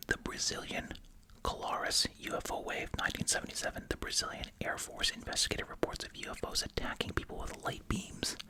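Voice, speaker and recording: An ASMR creator whispering breathily while chewing gum, reading out summaries and adding casual, musing asides. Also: poor audio. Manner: casual, musing, repeats short phrases.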